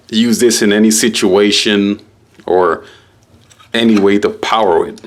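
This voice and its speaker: A man giving a casual, halting unboxing walkthrough as a product reviewer, explaining things piece by piece. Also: on the low side, slight background noise.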